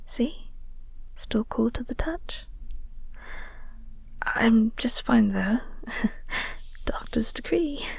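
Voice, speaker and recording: A flirty vampire woman, acted by a woman for an intimate audio roleplay, mostly whispering in a high, breathy voice. She is coy and teasing, takes her time, and lets a heavy creak into her words. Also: darkish tone, dry recording, exaggerated voice. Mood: soft, reassuring. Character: flirty, teasing vampire woman, office co-worker, calmly soothing.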